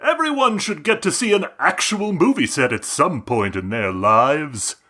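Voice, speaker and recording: A man's voice: on the high side, expressive, measured pace, pushed and bright, exaggerated voice. A man playing a flamboyant, pompous movie star with a grandiose, cutting manner in a comedic audio drama. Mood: haughty, mocking.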